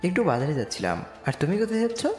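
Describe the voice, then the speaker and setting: A man's voice: a little high, wildly swooping pitch, rapid-fire, darkish tone. A man playing a poor, humble young slum boy who earnestly narrates his own romantic audio story. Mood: shy, humble. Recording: echoey reverb with music bed. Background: music.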